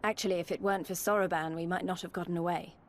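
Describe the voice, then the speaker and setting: An actress playing a brisk, earnest adventurer in a fantasy game, plain-spoken and matter-of-fact. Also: female voice, on the low side, steady tone, lightly acted. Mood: businesslike. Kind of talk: video game cutscene acting.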